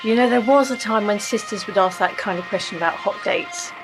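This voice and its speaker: A woman's voice: mid-range voice, fairly quick, over music, close to an everyday voice. Actress as wry pragmatic sister, woman's mid-range voice, blunt, pushy, natural radio drama delivery. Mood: wry, pressing. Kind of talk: radio play acting.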